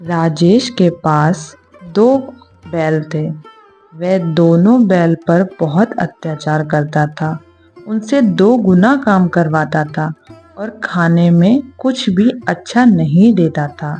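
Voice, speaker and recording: A woman's voice: fairly low pitch, takes it slowly, mellow, slightly rough, soft background music. A woman narrator reading a scripted story for children.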